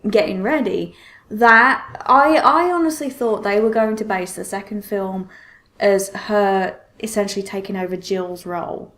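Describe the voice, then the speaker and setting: A woman's voice: medium pitch, unhurried, fairly bright tone. A woman hosting a podcast, talking over movies in a casual, opinionated, conversational style. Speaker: podcast host.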